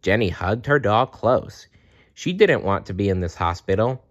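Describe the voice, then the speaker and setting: Low-pitched man reading a children's story. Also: animated, moderate pace, dull audio. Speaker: storybook reader. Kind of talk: children's read-aloud narration.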